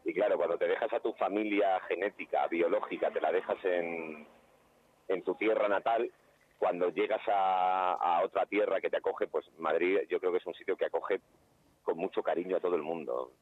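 A man's voice: low-pitched, steady tone, moderate pace, slightly rough. A man from Spain, a playwright and actor, talking thoughtfully in a casual radio interview, often restarting his sentences. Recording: tinny phone line.

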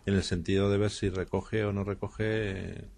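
A man's voice: on the low side, flat delivery, normal speed, gravelly, a little mellow. A middle-aged man, an enforcement officer, giving plain, hesitant and official-sounding interview answers.

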